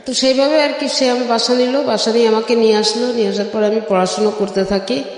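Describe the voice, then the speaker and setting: A woman's voice: fairly high pitch, steady tone, fast. A middle-aged Bangladeshi woman telling her life story in an interview, step by step, with frequent filler phrases. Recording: very echoey, long reverb.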